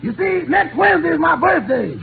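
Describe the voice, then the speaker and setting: Casting: cheeky schemer, very high gravelly voice, 1940s radio comedy, tinny broadcast. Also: male voice, sing-song, brisk, full character voice. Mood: cheeky pleading. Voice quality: raspy, gravelly. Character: wily, cheeky male employee sweet-talking his boss. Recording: noisy 1940s radio, tinny, audience behind.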